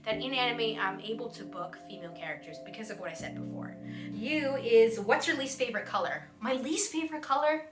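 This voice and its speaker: A woman's voice: on the high side, lively intonation, fairly quick. Giggly woman in an echoey room. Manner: bubbly, giggly, self-deprecating. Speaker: voice actor answering fan questions. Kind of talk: casual vlog Q&A. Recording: roomy echo with music behind.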